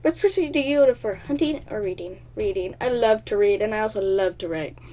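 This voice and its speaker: A woman host reading a text game aloud with chatty, excitable commentary, stumbling over words and laughing often. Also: mid-range voice, brisk. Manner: laughs often, talks at herself. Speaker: gaming channel host.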